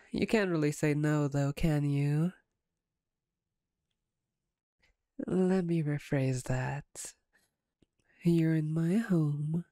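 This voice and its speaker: A woman playing a playful, smug, flirtatious female vampire captor in an ASMR roleplay, with a teasing, wry, unhurried manner. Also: sits low, mellow, dry recording, clean studio sound. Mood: smug, commanding.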